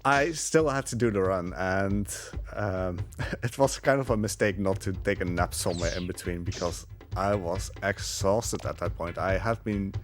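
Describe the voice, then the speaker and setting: A man with a fairly low voice commentates casually on a live game run. He rambles haltingly and sounds amused, while game music plays clearly behind him. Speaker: speedrunner.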